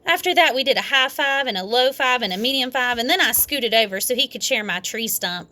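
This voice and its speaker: A woman reading a children's story aloud in expressive narration. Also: fairly high pitch, fairly quick. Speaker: storybook reader.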